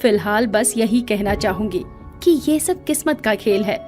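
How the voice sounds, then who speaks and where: An actress playing a gracious, wealthy middle-aged aunt in a Hindi audio drama, speaking warmly and politely with English phrases mixed in. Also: female voice, medium pitch, expressive, measured pace, close to an everyday voice. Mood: warm, reassuring. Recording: music bed behind the voice.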